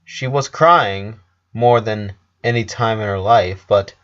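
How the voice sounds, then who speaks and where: A man reading a scripted story as narrator, in a measured way with pauses between phrases. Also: fairly low pitch, a bit bright. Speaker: fan fiction narrator. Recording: dull lo-fi voice recording.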